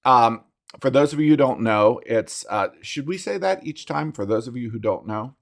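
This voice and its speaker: A man's voice: mid-range voice, moderate pace, creaky. A middle-aged man co-hosting a casual podcast, laughing often and restarting his sentences. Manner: animated, laughs often, talks through restarts. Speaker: podcast co-host. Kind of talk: casual chat.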